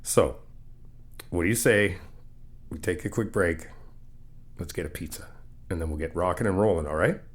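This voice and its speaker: A man's voice: low-pitched, moderate pace, long pauses. A young man in an ASMR roleplay, talking as a friend helping paint a new apartment, easygoing and reassuring, teasing and laughing often. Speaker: ASMR roleplay creator playing a supportive friend.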